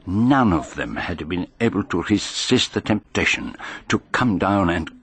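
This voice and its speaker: An actor playing a courtly, shrewd Belgian detective in a radio mystery, with a French accent and formal, deliberate phrasing. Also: male voice, low-pitched, lively intonation. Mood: reflective narration. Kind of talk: radio mystery drama acting with narration.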